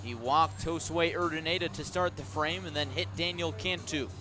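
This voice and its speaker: A man doing live radio play-by-play of a baseball game, with a measured call that rises sharply on big plays. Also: on the high side, over music.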